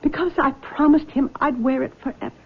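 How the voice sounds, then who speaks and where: A haunted, tearful young nurse. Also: female voice, high-pitched, fairly even intonation. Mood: guilty. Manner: tearful, shaky, halting. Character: haunted young nurse, frightened and guilt-ridden. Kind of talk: 1970s radio drama acting. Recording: dull old radio broadcast.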